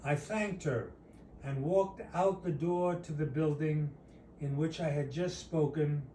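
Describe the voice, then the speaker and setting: Unhurried man reading a story, roomy recording. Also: on the high side, steady tone, mellow. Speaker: author reading own short story. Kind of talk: narrative reading aloud of a personal story. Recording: roomy, distant mic, faint noise.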